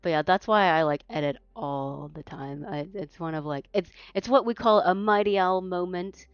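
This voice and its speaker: A woman with a low voice, a native Mandarin speaker, chatting casually as a tournament caster. She rambles and corrects herself often. The sound is dry and a little dull, like a lo-fi home mic. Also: moderate pace, clear, resonant tone, no room sound. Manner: rambling, self-correcting, frequent fillers. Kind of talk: casual post-match chat.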